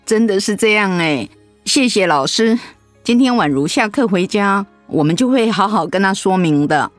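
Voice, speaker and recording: A woman's voice: mid-range voice, animated, dry recording, soft background music, close to an everyday voice. An actress playing a sick, easily hurt wife and mother in a radio drama, with a Taiwanese accent and an insistent, whiny, emotive delivery. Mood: contrite, grateful. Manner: whiny, emotive, with drawn-out sentence particles.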